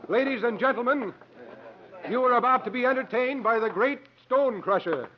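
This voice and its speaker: A man's voice: extremely high pitch, moderate pace. An actor playing a shrewd, burly travelling strongman of thirty, folksy and wry, in 1950s radio drama style. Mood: mock-showy. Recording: dull, noisy old 1950s radio recording.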